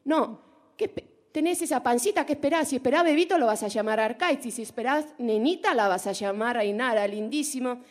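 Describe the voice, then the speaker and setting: A woman's voice: on the high side, brisk, close to an everyday voice. A woman in her forties playing a nosy, chatty Argentine woman with a put-on Rioplatense accent, teasing and drawing out her vowels. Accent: Rioplatense Spanish put on. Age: 40s. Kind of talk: comic monologue impression.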